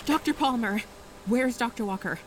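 A woman's voice: a little high, moderate pace, background noise, lightly acted. A woman playing a young navy ensign who clings to her orders, in an audio drama. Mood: tense, firm. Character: resigned young female navy ensign, rigid about orders.

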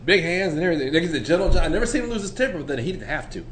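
A man's voice: fairly high pitch, racing, a little hiss or hum. A man hosting a casual podcast chat, telling stories and laughing often. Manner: laughs often, animated storyteller. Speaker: podcast host.

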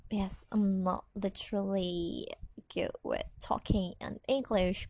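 A woman's voice: medium pitch, gravelly, low-quality recording. A young woman from Indonesia speaking English with an Indonesian accent, rambling sleepily through a personal podcast with many fillers. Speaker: podcast host.

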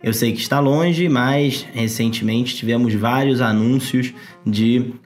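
A man from Rio de Janeiro with a Carioca accent, co-hosting a casual podcast in a dry, measured way. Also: medium pitch, faint music behind. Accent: Carioca Portuguese. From Rio de Janeiro, Brazil. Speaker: podcast co-host. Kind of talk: casual chat.

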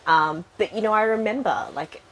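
A woman's voice: mid-range voice, normal speed, crowd noise, rough recording. A middle-aged woman with an Australian accent, telling her story as a podcast guest in a frank, chatty way with frequent laughs. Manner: frank, laughs often, many fillers. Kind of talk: casual interview chat.

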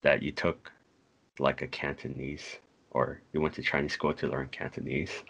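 A man's voice: a bass voice, little pitch movement, normal speed, vocal fry, a bit bright, slightly rough, poor audio. A young man, a podcast co-host, chatting in a laid-back way. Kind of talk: casual podcast chat.

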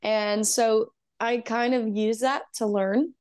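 A woman's voice: on the high side, fairly even intonation, slow, darkish tone, clean tone. A young woman from Texas, a musician guest talking earnestly and upbeat, with many "um" and "you know". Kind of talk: casual interview.

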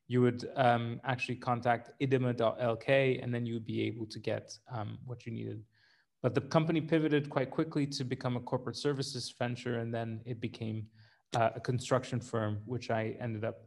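A middle-aged man from Sri Lanka co-hosting a casual podcast, self-deprecating and wry, with many fillers. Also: medium pitch. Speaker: startup co-founder, podcast host.

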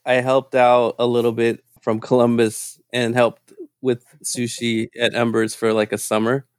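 A man's voice: medium pitch, monotone, measured pace, clean tone, no echo, clean studio sound. A man in his thirties hosting a casual chat, telling stories playfully, laughing and swearing freely. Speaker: host.

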